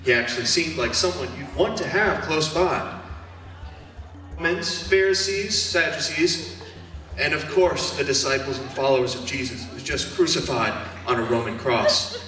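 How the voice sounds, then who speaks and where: A man's voice: fairly high pitch, normal speed, pushed and bright. A man acting an urgent news reporter in a church stage drama, asking probing, insistent questions. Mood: grave, perplexed. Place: church hall stage performance. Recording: very echoey hall, distant mic.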